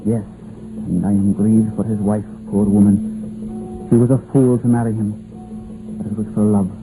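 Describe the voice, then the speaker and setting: An actor playing a blunt, gloomy Welsh village coal miner in a 1950s radio drama, speaking in lilting dialect phrasing. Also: male voice, lowish voice, little pitch movement, normal speed, over music, close to an everyday voice. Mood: grim, insistent. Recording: muffled, faintly noisy old radio recording.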